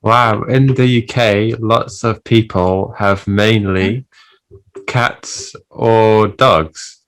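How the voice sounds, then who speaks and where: A woman from England, a teacher explaining things in a relaxed, casual chat and laughing easily. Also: rumbling low, very slow. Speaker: English teacher, co-host. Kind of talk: casual conversational Q&A.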